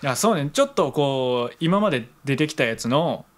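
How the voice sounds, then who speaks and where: A man's voice: mid-range voice, expressive, normal speed. A young man from Kansai, co-hosting an internet radio show with casual, rambling chat and playful improvised skits. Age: young adult. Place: small indoor studio room. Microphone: desk microphone with headphones.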